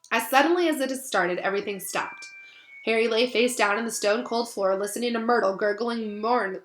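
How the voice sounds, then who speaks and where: A woman reading a children's novel aloud in expressive storybook narration. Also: mid-range voice. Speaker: storybook reader. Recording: roomy echo, faint music behind.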